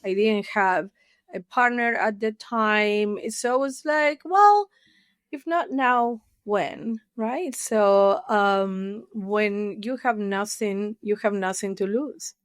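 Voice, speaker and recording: A woman's voice: mid-range voice, slow pace, no echo, clean studio sound. A middle-aged woman from Argentina with an Argentine Spanish accent, a therapist telling her story candidly as a podcast guest. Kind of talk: casual interview storytelling.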